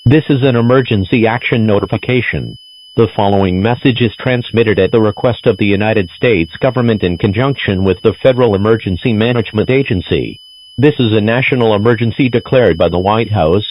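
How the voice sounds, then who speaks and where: A man's voice: on the low side, a little fast, muffled recording, a little hiss or hum, lightly acted. A man playing a formal, impersonal emergency alert announcer, reading a scripted warning in a radio drama. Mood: formal, official.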